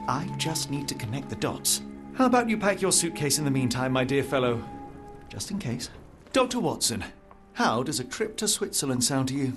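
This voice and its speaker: An actor playing a cerebral, self-assured young detective in a video game, with a British accent and precise, theatrical delivery. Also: male voice, medium pitch, big pitch swings, normal speed, close to an everyday voice. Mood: confident, brisk. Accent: British English. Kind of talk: dramatic video game cutscene acting. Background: music.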